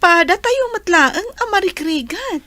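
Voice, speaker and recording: A woman as a high-voiced young Ilocano daughter. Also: expressive, a little slow, pushed and bright, no echo, heavily acted. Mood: doubtful, surprised. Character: young village daughter, plaintive and doubtful. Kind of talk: Ilocano radio comedy drama acting.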